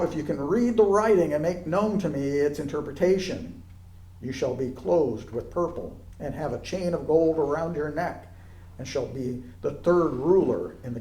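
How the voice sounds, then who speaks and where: A man with a fairly high voice reads scripture aloud in a roomy, echoing space. His delivery is solemn and declamatory, each phrase set out deliberately. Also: darkish tone. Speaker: scripture reader. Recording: echoey, roomy sound.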